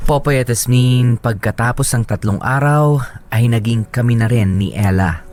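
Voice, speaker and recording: A man's voice: medium pitch, normal speed, music in the background. A man with a Filipino accent who works as a radio host and storyteller. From Philippines. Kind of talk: dramatic story narration and casual radio banter.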